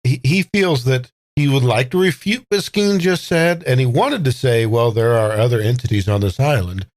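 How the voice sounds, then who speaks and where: Measured man role-playing, close and dry. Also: medium pitch, no room sound. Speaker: player. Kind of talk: improvised in-character role-play.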